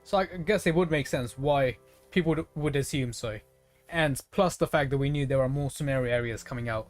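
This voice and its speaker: A streamer talks through a video game in a casual, conversational way. The speech rambles as thoughts form out loud, addressed to chat, with game music playing faintly behind. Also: mid-range voice, a little fast. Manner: rambling, conversational, thinking aloud. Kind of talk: casual gaming stream commentary, chatting with viewers.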